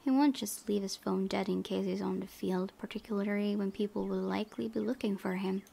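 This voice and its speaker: Woman, gaming host, gravelly fry, medium pitch, reading story text aloud, casual commentary. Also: fairly even intonation, fairly quick, warm tone.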